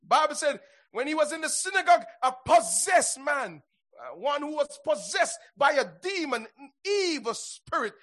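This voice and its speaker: A man preaching a fervent Pentecostal sermon in a husky, raspy voice, declaiming and punctuating his phrases with exclamations of praise. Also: very high-pitched, sing-song, moderate pace, fairly bright tone.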